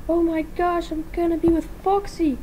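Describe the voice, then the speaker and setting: A woman voicing an excitable, nervous female animatronic pirate fox in a fan story, gushing and shouting. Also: extremely high pitch, normal speed, a little hiss or hum, voice pushed up. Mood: giddy, nervous.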